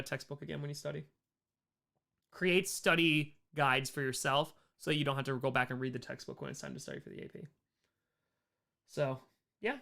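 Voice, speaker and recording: An American man hosting a casual livestream Q&A, chatting in an animated way and repeating short phrases for emphasis. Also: on the high side, gravelly, clear, resonant tone. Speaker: livestream host answering chat questions.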